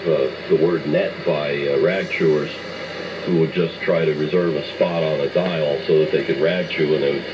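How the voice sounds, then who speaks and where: Deep-voiced American man over noisy AM radio. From United States. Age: middle-aged or older. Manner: rambling, hesitant, reminiscing. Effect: AM radio transmission. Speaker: amateur radio operator. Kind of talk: casual ham radio chat. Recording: muffled, noisy AM shortwave radio signal. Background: radio static noise.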